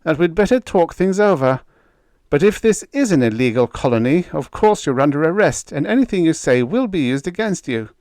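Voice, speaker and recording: A man's voice: a little high, very animated, a little fast, darkish tone, no echo, pitched above the performer's own voice. A man voicing an indignant, bossy senior colonial officer in an audiobook, blustering and officious as he makes demands. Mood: stiff, careful. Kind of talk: audiobook character dialogue.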